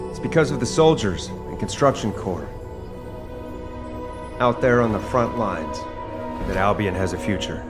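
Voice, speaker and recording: An upbeat young male chef-hero from a video game, earnest and confident. He encourages the people around him as he speaks. Clear game music plays behind him. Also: mid-range voice. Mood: reassuring, proud. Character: upbeat young man, chef and super-powered war hero. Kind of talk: video game cutscene dialogue. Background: background music.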